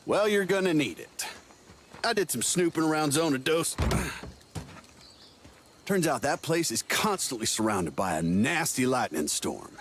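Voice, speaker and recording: An actor playing a breezy, smooth-talking older agency operative with a folksy, wheedling manner in a video game cutscene. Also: male voice, a little high, sing-song, measured pace, a little hiss or hum. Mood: persuasive.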